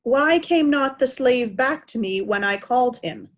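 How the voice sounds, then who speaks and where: A woman's voice: a little high, lively intonation, a little slow. A woman playing an imperious, impatient old king in a readers' theatre Shakespeare play. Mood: impatient, demanding. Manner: commanding, peremptory questioning.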